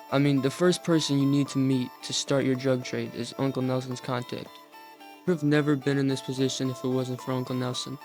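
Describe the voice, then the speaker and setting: A man's voice: mid-range voice, a little mellow. A nineteen-year-old man narrating a scripted video about video game lore. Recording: music behind the voice. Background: plucked guitar music.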